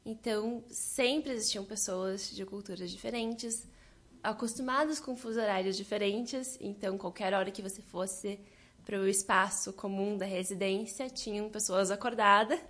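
A young woman from southern Brazil, answering interview questions in a lively way and laughing often. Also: mid-range voice, normal speed. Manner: lively, laughs often, quotes others' reactions. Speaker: guest.

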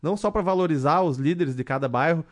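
Brief: man in his thirties, councilman guest on a radio show, steady, measured delivery with frequent fillers. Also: fairly high pitch. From southern Brazil. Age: 30s. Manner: measured, many fillers and restarts. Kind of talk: radio interview answers.

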